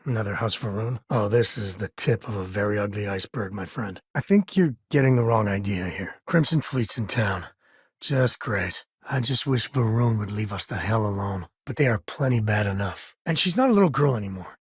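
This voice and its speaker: An actor playing an easygoing, wry spacefaring cowboy and devoted father in a video game, with a husky voice and folksy, drawling, dryly sarcastic phrasing. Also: lowish voice, expressive, moderate pace, no echo, clean studio sound. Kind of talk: video game character dialogue.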